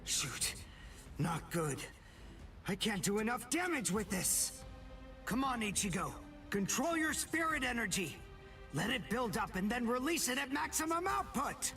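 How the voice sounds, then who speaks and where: Analytical teenage archer, anime game dub, dramatic swings in pitch, music behind. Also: male voice, very animated, measured pace, pushed and bright, reverberant, big-room sound, heavily acted. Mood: urgent. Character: brainy, intense teenage male spirit archer.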